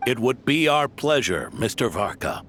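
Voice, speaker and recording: An actor playing a formal, courteous and earnest soldier in a video game. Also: male voice, mid-range voice, big pitch swings, normal speed, background noise, lightly acted. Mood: formal, respectful.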